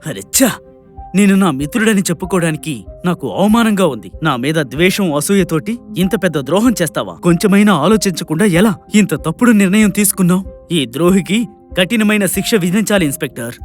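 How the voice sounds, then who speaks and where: Guy doing a hurt angry betrayed man in a cartoon story, high voice, talking fast. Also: animated, soft background music. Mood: hurt, indignant. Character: indignant, betrayed man confronting his treacherous friend. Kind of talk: animated moral story dialogue.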